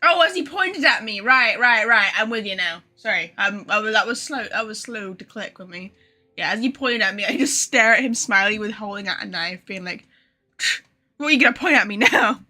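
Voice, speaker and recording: A woman game streamer giving casual, joking live commentary, sarcastic and quick to swear. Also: medium pitch, expressive, bright, forceful tone, faint music behind.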